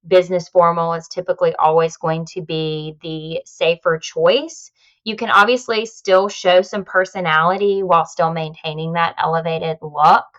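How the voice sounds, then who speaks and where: A woman's voice: on the low side, muffled recording. Poised woman giving speaking advice. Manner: polished, confident, instructive. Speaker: guest speaker on public speaking. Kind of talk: conversational podcast advice.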